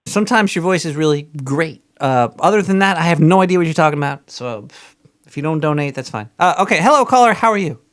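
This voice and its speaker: A man's voice: a little high, lively intonation, quick pace, a bit bright. A man hosting a casual call-in show, joking and distracted as he searches for things online. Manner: joking, distracted, trash-talking. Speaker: radio show host.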